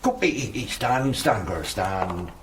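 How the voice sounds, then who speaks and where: An actor playing a crusty, folksy country horse handler in a period radio drama, grumbling and coaxing. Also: male voice, fairly low pitch, expressive, a little slow, fairly bright tone, recorded from a distance. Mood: scornful, grumbling. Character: crusty, affectionate male rustic horse handler.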